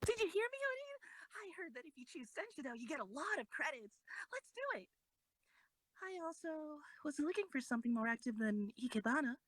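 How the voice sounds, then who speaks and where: A woman playing an earnest, excitable teenage schoolgirl in an amateur anime-style audio drama. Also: high-pitched, fairly quick, no room sound, low-quality recording. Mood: excited, curious.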